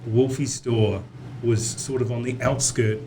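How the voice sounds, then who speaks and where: A man's voice: mid-range voice, fairly even intonation, a little slow, warm tone, slight background noise, low-quality recording. A man hosting a casual interview in a mid-range voice. He circles around his questions, with plenty of fillers and restarts. Faint room ambience sits behind him. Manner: hesitant, rambling questions with many fillers. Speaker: podcast host.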